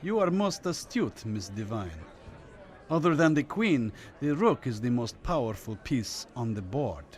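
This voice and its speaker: A courtly Cold War communist official from Lithuania, in a radio thriller. He talks in measured, formal phrases with quiet menace and condescension, in a medium-pitched voice. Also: very animated. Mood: courtly, sardonic.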